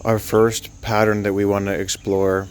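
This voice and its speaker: A man leading a guided sleep meditation, speaking gently and soothingly in an unscripted, halting way with long pauses. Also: on the low side, flat delivery, smooth.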